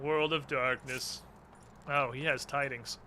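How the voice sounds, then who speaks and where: A man's voice: mid-range voice, fairly even intonation, slow pace, pushed and bright, a little hiss or hum. A man doing casual gaming commentary in a sarcastic, mock-dramatic and profane way. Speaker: game streamer. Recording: music playing behind. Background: game music.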